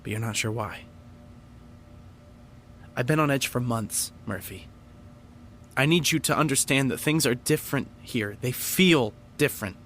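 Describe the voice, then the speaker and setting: An actor as a guilt-ridden young man in an audio drama. He speaks hesitantly and uneasily at a middling pitch, over a faint rumbling noise. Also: unhurried, long pauses, a bit bright, natural voice. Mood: uneasy, wary.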